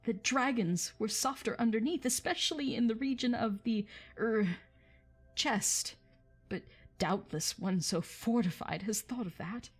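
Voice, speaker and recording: A woman's voice: mid-range voice, animated, a little slow, darkish tone, slightly rough, soft background music, pitched above the performer's own voice. A woman voicing a small, nervous male hobbit who tries to sound brave, in a raised, earnest tone. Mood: frightened.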